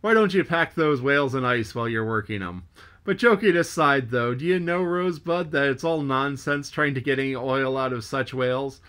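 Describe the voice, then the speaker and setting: A man reading an audiobook, voicing a jovial, joking male whaling mate who hails and teases loudly. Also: mid-range voice, normal speed, clean tone, lightly acted. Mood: teasing. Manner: bantering, teasing, hailing loudly.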